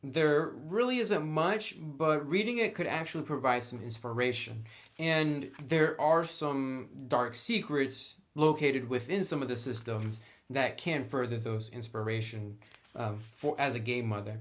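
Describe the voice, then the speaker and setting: A man's voice: medium pitch, unhurried. A man reviewing in a casual, conversational and opinionated way, speaking informally like a hobby commentator. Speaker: tabletop game book reviewer.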